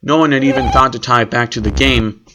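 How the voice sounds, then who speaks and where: A man narrates a scripted horror story in the first person at a medium pitch. The recording has clear background noise and clicks. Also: fairly even intonation, brisk.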